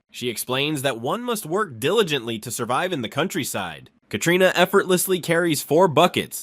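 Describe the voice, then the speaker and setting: A man narrates a scripted recap of a story. His voice sits a little high and moves with very lively, animated intonation. The sound is dry, with no room around it. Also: no echo.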